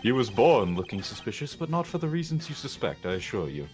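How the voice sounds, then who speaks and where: A man playing a dry, sardonic prosecutor in a video game fan dub, speaking in a measured, formal, deadpan way. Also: mid-range voice, soft background music, close to an everyday voice. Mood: dry sarcasm.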